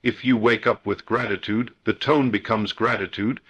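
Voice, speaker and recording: A man's voice: lowish voice, steady tone, moderate pace, husky, highs cut off. Man narrating a solemn motivational meditation.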